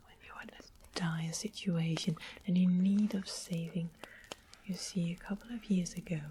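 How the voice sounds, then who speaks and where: A woman's voice: lowish voice, lightly acted. Woman playing a whispering, sweetly sly royal witch. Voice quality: soft breathy whisper. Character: sweetly sly royal witch trading rare magical items. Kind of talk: ASMR fantasy roleplay. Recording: clearly noisy close whispered recording.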